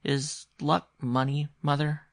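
A man's voice: on the high side, level tone, slow, dry recording, studio quality, pitched above the performer's own voice. A man voicing a small boy, timid yet stubborn, asking earnest questions in an audiobook story. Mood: timid, curious. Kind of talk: literary audiobook character voice.

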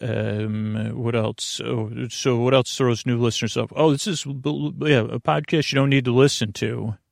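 A man hosting a sleep podcast in a meandering, halting monologue, often losing his thread. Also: medium pitch, fairly even intonation, creaky, dry recording, studio quality. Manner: meandering, halting, loses his train of thought. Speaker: podcast host.

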